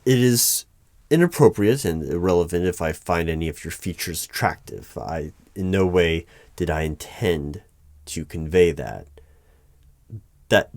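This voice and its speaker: A man playing a formal, easily flustered hiring manager in an ASMR roleplay, speaking in measured, halting phrases. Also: low voice, dry recording. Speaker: performer of a one-sided roleplay. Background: computer keyboard typing.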